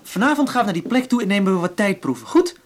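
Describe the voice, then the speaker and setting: An actor playing an easygoing young male activist in a naturalistic radio drama, calmly persuasive. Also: high-pitched, fast. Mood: upbeat, coaxing.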